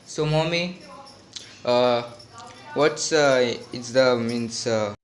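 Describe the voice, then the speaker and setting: A young man hosting a joking family vlog in halting English, full of fillers. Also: medium pitch, soft background music. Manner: playful, mock-serious, halting with fillers. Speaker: vlogger. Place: family home kitchen. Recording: echoey home room with noise.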